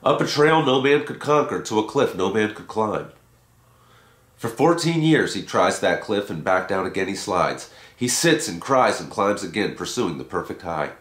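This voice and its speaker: A man's voice: lowish voice, animated, moderate pace, fairly bright tone. A man giving a dramatic recitation of a rhyming story poem as its reader. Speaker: poetry reader. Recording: roomy, echoing home recording.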